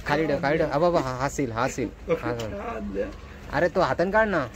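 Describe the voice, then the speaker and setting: A man's voice: a little high, expressive, normal speed, slight background noise. A man giving casual, informal vlog commentary, mixing in bits of English and Hindi. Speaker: vlogger host.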